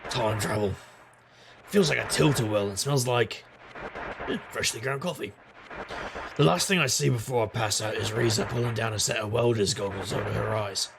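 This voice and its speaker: Man as a very gravelly, roguish private eye. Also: mid-range voice, noisy background, natural voice. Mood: weary, wry. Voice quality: gravelly, husky. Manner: wry, world-weary wisecracking. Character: gruff, roguish, hard-drinking male private investigator narrator. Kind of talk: noir audio drama narration and dialogue.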